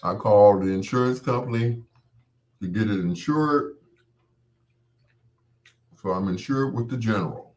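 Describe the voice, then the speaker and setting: Low-voiced man chatting casually. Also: unhurried, pauses often, darkish tone. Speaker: host of a late-night show. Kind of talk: casual rambling solo chat to listeners.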